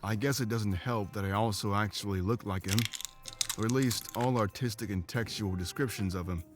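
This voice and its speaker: A man's voice: fairly low pitch, normal speed, over music, lightly acted. A man playing a guarded but loyal adventurer in a visual novel, talking earnestly and plainly. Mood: reluctant, matter-of-fact. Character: guarded, loyal male adventurer, plain-spoken travel companion. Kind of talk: scripted visual novel dialogue.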